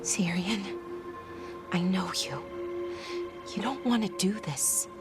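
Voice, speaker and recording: A tender, anxious elven woman in a fantasy game drama. She speaks slowly and haltingly in a mid-range voice, with earnest grief, over loud music. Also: medium pitch, animated. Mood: pleading, tender. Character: anxious, tender elven woman grieving her lost brother. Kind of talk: dramatic video game cutscene acting.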